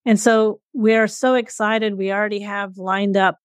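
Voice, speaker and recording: A woman's voice: mid-range voice, little pitch movement, moderate pace, clean tone, dry recording, clean studio sound. A middle-aged woman, a parent and guest co-author, speaking earnestly in a casual interview with frequent fillers.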